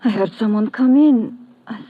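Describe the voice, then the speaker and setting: A woman's voice: fairly high pitch, normal speed, no echo, lightly acted. An actress playing a guarded, hesitant young woman in an old radio drama. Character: dazed, guarded young foreign lady. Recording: muffled, tinny old radio recording.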